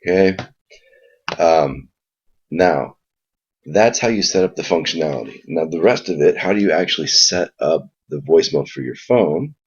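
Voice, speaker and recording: Low husky guy walking thru a software tutorial, casual, kinda muffled lo-fi mic. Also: lively intonation, normal speed. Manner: informal, explanatory. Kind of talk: casual spoken screen walkthrough. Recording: dull lo-fi mic sound.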